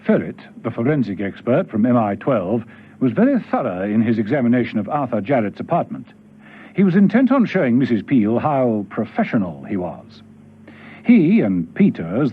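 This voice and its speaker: A man's voice: medium pitch, sing-song, moderate pace, breathy edge. A man narrating a radio spy drama in scripted storytelling style. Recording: thin phone-like old radio recording.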